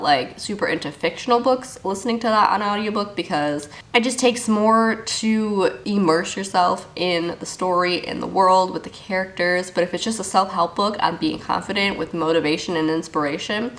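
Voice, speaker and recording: A young woman book vlogger chatting casually to camera, upbeat and full of fillers, sniffly from a cold. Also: medium pitch. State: sick and sniffly, blocked ears.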